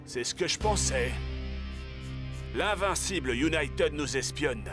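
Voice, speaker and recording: A stern cartoon soccer coach, fairly high in pitch and authoritative, giving orders with focus. Music plays clearly behind the voice. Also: male voice, animated, slow. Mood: stern, suspicious. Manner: authoritative, directing. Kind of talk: French cartoon dubbing.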